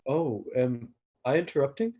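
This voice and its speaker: A man playing a stressed, awkward, lovestruck young god in a comic staged reading, halting, flustered and touchy. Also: medium pitch, expressive, warm tone, slightly rough, highs cut off. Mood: stressed, moody.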